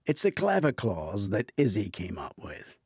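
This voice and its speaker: A man's voice: fairly low pitch, huge pitch swings, measured pace, a little mellow, breathy edge, dry recording, clean studio sound, heavily acted. A man voicing a stubborn, earnest tech colleague in an audiobook, defensive and matter-of-fact.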